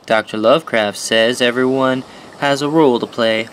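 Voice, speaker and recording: An actor playing an eager, devoted young painter and asylum patient, informal and fervent, in an audio horror drama. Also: male voice, medium pitch, steady tone, normal speed, slight background noise, lightly acted. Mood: fervent, awed.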